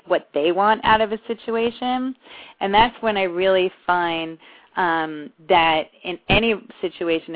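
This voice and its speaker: A woman with a fairly low voice chats loosely on a podcast, trailing off and laughing at her own slips. The audio is narrow and tinny. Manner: rambling, chatty, laughs at herself. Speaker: podcast co-host. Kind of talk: casual podcast chat. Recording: tinny phone-like line.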